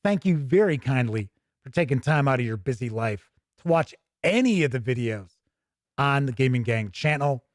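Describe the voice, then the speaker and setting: A man's voice: medium pitch, animated, moderate pace, no echo, studio quality. A man hosting a tabletop gaming livestream in a folksy, chatty, casual way. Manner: folksy, chatty, with jokey turns of phrase. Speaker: YouTube host of a tabletop gaming show. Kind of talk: casual livestream sign-off chat.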